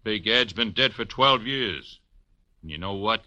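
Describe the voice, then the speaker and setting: An actor playing a huge, menacing, gloating thug in a 1940s radio detective drama. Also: male voice, fairly low pitch, lively intonation, a little slow, pushed and bright, no echo, lo-fi sound, heavily acted. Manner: threatening. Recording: vintage 1940s radio broadcast.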